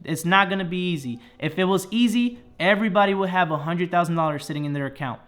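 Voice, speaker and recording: A man in his thirties, originally from the Dominican Republic, speaks straight to his viewers. His voice sits fairly high for a man. He delivers an earnest, encouraging talk and keeps checking in with a quick "okay?" after each point. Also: fairly bright tone. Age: 30s. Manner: earnest and motivational, ends phrases with "okay?". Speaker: personal finance YouTuber. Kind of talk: motivational talk to camera.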